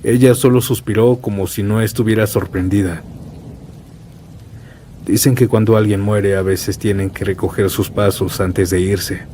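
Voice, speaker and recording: A man's voice: on the low side, normal speed. A man narrating scripted first-person horror stories in a solemn, dramatic way, as a storyteller. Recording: steady rain and thunder noise bed. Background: rainstorm with thunder.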